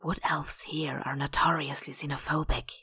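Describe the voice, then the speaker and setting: A woman playing a wary, watchful bandit in an audio drama, with a husky, breathy voice. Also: low-pitched, level tone, moderate pace, pushed and bright, no echo, exaggerated voice. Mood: wary, hushed.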